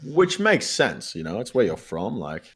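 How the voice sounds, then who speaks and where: Aussie guy hosting a podcast, laid back, low-ish voice, says dude a lot. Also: huge pitch swings, moderate pace, breathy edge. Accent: Australian. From Australia. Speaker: podcast host. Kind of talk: casual chat.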